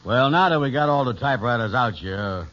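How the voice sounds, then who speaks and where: An actor playing a slow, good-natured, gullible pal with husky, folksy, ungrammatical speech in an old-time radio sitcom. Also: male voice, medium pitch, animated, noisy background, lightly acted. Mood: curious, impressed. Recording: muffled vintage radio recording.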